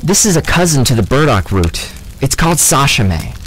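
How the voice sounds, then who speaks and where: An actor playing a calm, knowledgeable young mage healer, explaining things matter-of-factly in an audio drama. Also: male voice, mid-range voice, moderate pace, soft background music, poor audio. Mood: explaining, focused.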